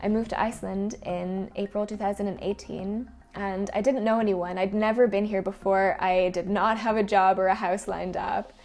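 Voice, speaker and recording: An Irish woman, around thirty, presents a personal vlog. Her voice sits at an ordinary pitch for a woman and often drops into fry. Music plays softly underneath as she narrates. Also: fairly even intonation, moderate pace, few pauses. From Ireland. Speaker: freelance photographer presenting a vlog.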